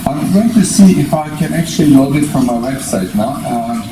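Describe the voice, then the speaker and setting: Man in his fifties, deliberate lecturer, mid-pitched voice, short explanatory phrases, distant mic, noisy room. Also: lively intonation, echoey room. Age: 50s. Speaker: presenter, eye surgery specialist. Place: small indoor meeting room at a lectern. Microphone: distant camera microphone.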